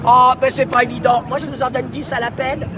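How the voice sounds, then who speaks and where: A woman's voice: high-pitched, flat delivery, fairly quick, noisy background. A woman at an outdoor protest, insistently demanding an answer and lecturing. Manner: insistent, hectoring, repeats demands. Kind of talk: heated street argument. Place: city street, outdoors. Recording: distant voice, loud crowd and music.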